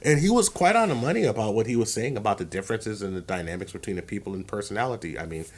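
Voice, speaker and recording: Very deep-voiced man, emphatic podcast host. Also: lively intonation, very fast. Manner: emphatic, repeats phrases while building a point. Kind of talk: casual reaction chat.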